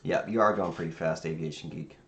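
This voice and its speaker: A man streaming as a virtual air traffic controller, chatting casually in a halting, rambling way as he thinks aloud. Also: low-pitched, steady tone, highs cut off. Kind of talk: casual livestream chat. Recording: roomy sound with faint background noise.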